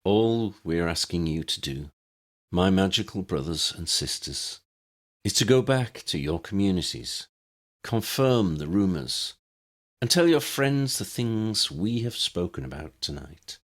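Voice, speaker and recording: A man acting a low-voiced, impassioned wizard demagogue. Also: expressive. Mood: solemn rallying. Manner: rhetorical, measured phrases with emphatic stresses and dramatic pauses. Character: charismatic male wizard demagogue rallying a meeting, impassioned and grave. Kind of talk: political rally speech in an audiobook.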